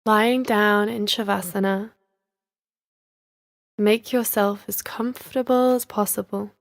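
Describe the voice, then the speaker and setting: A woman leading a guided yoga nidra relaxation as a teacher, speaking in a soothing, measured, instructional manner with gentle pauses. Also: medium pitch, fairly even intonation, no echo, clean studio sound.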